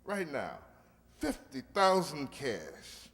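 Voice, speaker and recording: A man's voice: mid-range voice, wildly swooping pitch, takes it slowly, fairly bright tone, full character voice. A man playing a slick, pushy gang leader in an old-time radio crime drama, tough-talking, streetwise and wheedling. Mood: coaxing. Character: slick, pushy crook leader, bargaining and threatening. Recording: echoey hall stage recording.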